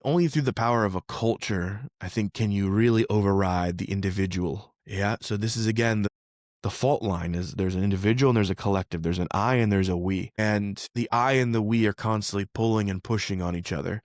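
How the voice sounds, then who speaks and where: A man hosting a podcast, explaining ideas in a relaxed, conversational way and often checking in with "right?". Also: lowish voice, brisk, dry recording, studio quality. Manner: conversational, frequent "like" and "right?" tag questions. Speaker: podcast host. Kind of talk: casual explanatory talk. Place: living in Philadelphia.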